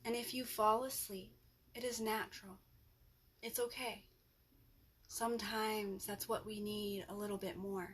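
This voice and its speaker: A woman guides a relaxation meditation at a very slow pace, leaving long pauses between gentle instructions. Her pitch sits in the middle range for a woman. There is clear noise in the background of the recording. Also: flat delivery. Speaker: yoga nidra instructor.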